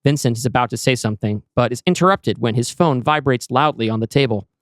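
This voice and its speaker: Male narrator for scripted audio drama, medium pitch, measured storytelling, very little room sound. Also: no echo, studio quality. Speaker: narrator and host. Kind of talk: scripted screenplay narration in present tense.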